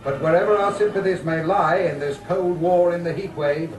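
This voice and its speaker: An actor playing a wry, declamatory newsreel announcer in a 1940s commentary style. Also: male voice, high voice, fairly even intonation, moderate pace, close to an everyday voice. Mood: grandly wry. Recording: roomy, distant newsreel sound over music.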